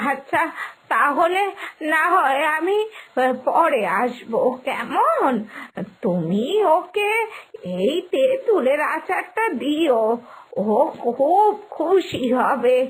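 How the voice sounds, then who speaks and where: A woman voicing a kindly, wistful elderly village grandmother in an animated story, speaking in a gentle, pleading, drawn-out way. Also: sits high, full character voice, poor audio. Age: older. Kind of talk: animated moral story dialogue.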